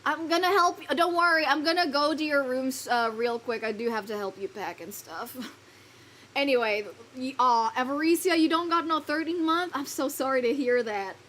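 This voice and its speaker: A woman's voice: high-pitched, normal speed, fairly bright tone, a little hiss or hum. A woman streaming live, chatting loosely with her viewers, laughing often, swearing casually and fumbling her lines. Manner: giggly, rambling, swears casually. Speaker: virtual streamer.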